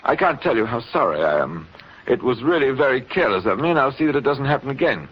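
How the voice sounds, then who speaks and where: A controlling, coolly sinister doctor in a 1940s radio play. His speech is polite and measured but swoops in pitch, with a husky, creaky edge. The old recording is dull. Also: very animated. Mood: smooth, apologetic. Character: suave, coolly menacing male doctor, controlling schemer.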